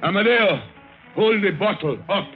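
An actor playing a boastful, hard-drinking guerrilla general with a Spanish accent and commanding broken English in an old radio drama. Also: male voice, sits high, expressive, normal speed. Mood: commanding, boisterous. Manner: broken English, commanding, swaggering, repeats himself. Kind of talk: 1950s radio drama acting. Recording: tinny old radio broadcast, music behind.

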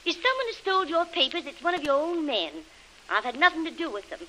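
A scheming young woman in an old radio serial, all fluttery, pouting innocence and put-on belle airs. Her voice is high and bright and swoops widely. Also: big pitch swings, fast talker, slight background noise, full character voice, low-quality recording. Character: coy young woman spy feigning innocence. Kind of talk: 1930s radio acting.

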